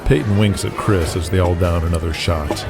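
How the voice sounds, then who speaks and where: Low-voiced male narrator over loud music. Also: a little fast. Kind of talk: scripted audio drama narration in present tense.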